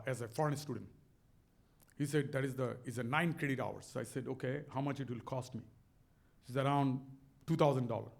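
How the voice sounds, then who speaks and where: A man's voice: mid-range voice, darkish tone. A middle-aged man with a Bangladeshi accent, telling a motivational story in an earnest, emphatic way, often repeating words. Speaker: speaker on a stage talk.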